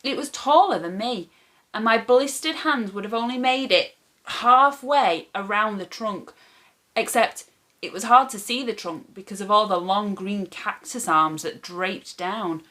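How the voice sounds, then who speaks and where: A woman reading a children's story aloud as a storyteller, with expressive, animated phrasing for young listeners. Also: medium pitch, unhurried.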